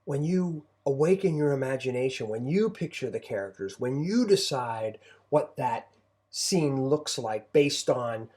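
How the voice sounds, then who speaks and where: A middle-aged man shares parenting thoughts in a soft-edged, mellow voice. He speaks conversationally and reflectively, circling back and repeating phrases as he finds his way through a thought. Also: mid-range voice, lively intonation, measured pace. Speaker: homeschooling parent and co-host.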